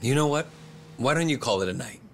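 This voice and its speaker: An actor playing a composed, self-assured male politician in a video game, speaking in measured, polite phrases. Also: medium pitch, animated, fairly bright tone, a little hiss or hum, lightly acted. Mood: composed, confident. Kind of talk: English video game voice acting.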